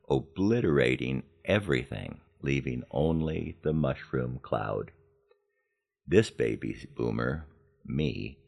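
An American man in his seventies narrating a scripted personal podcast in a measured storytelling manner with deliberate emphasis. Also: rumbling low, gravelly. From Los Angeles, United States. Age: 70s. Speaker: podcast host.

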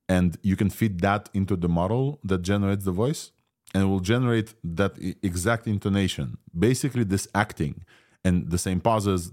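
A man's voice: deep voice, darkish tone. A man with a Ukrainian Israeli accent hosting a casual tech podcast, rambling with many fillers and restarts. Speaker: podcast host.

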